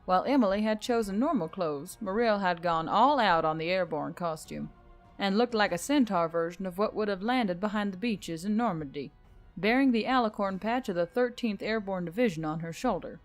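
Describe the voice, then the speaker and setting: A woman's voice: medium pitch, normal speed. Woman narrating a story over music.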